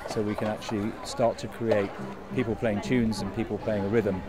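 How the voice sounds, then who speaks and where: A man's voice: lowish voice, flat delivery, normal speed, loud music behind the voice, over a noisy crowd. An older man, a university researcher, explaining a project earnestly on a busy street, asking rhetorical questions. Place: outdoors on a busy city street.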